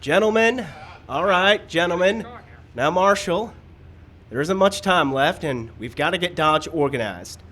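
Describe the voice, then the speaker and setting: A man playing an officious, anxious town organizer in a live radio western, brisk and formal. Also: sits high, animated, a bit bright. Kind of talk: live old-time radio western acting. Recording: faint live outdoor stage noise.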